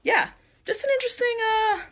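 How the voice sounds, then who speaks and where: A woman with a deep voice ranting defiantly at online commenters, cursing and speaking bluntly. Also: brisk, fairly bright tone. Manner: defiant, profane, talks straight at commenters. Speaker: singer posting a video. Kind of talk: blunt video rant.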